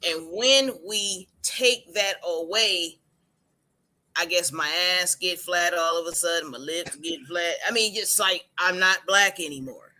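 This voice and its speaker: A woman's voice: on the low side, sharp tone. A woman from Puerto Rico, a hairstylist, making her point emphatically on a livestream panel. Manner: emphatic, preachy, drawn-out lists.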